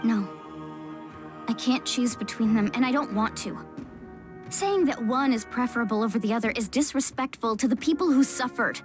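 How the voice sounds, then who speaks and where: Young warrior woman, voiced by an actress, earnest and raw, bright-ish pitch, music under. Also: moderate pace, natural voice. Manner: earnest, emotional. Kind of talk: game voice acting.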